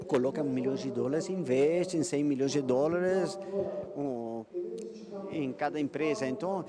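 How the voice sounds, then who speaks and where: A man from Israel with an Israeli accent, giving a conference presentation and often halting and repeating words while he searches for them. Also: medium pitch, over a noisy crowd.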